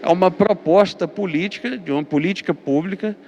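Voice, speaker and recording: A man's voice: high-pitched, fairly quick, a little mellow, long reverb tail, muffled recording. A Brazilian man with a Minas Gerais accent, a pastor giving a lecture-style teaching, hesitating with fillers and repeated words, then driving his points home with emphatic lists. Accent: Brazilian Portuguese, Minas Gerais.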